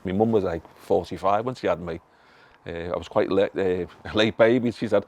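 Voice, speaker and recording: A man's voice: low-pitched, normal speed, a little mellow. A man telling an amused family story in a documentary interview, laughing between lines.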